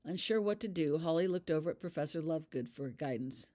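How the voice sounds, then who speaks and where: A woman's voice: low voice, lively intonation, brisk, mellow. A woman reading scripted fiction aloud as an audiobook narrator. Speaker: author reading their own fan fiction.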